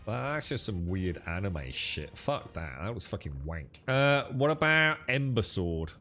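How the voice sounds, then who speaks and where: A man's voice: on the low side, big pitch swings, measured pace, bright, forceful tone, soft background music. A young man streaming games, chatting with friends in a blunt, sweary, dismissive way. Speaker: gaming streamer. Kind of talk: casual gaming stream chat.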